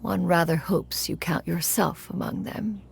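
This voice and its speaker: A woman's voice: on the low side, fairly even intonation, a little slow, gravelly, a little hiss or hum. A woman voicing an aloof, ancient female crane spirit in a game cutscene, speaking in a formal, lofty manner. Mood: solemn. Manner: formal, refers to herself as "one".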